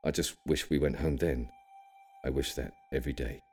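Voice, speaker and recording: A man plays a cryptic old grandfather with an extremely low voice. He tells grave audiobook tales of a wartime childhood, measuring his words with an ominous care. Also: darkish tone, breathy edge, soft background music. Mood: grave, nostalgic. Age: older. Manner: careful, measured, ominous storytelling. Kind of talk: audiobook character storytelling.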